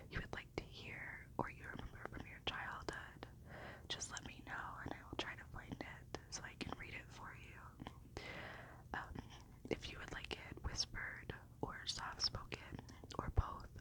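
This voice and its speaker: Woman, breathy whisper, gentle ASMR storybook reading, unhurried. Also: low-quality recording.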